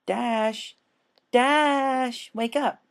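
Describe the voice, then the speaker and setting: Man putting on a high, manic girl pony villain. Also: fairly even intonation, extremely slow, vocal fry, heavily acted. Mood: urgent, goading. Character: manic, cheerfully cruel young female cartoon pony villain. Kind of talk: fan fiction story reading.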